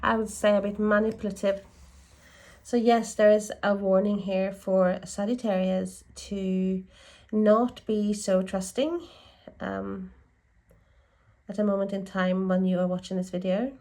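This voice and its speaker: Slow-talking congested woman reading tarot. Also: medium pitch, fairly even intonation, a little mellow. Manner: rambling, self-correcting, musing aloud. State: congested from a lingering cold. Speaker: tarot reader.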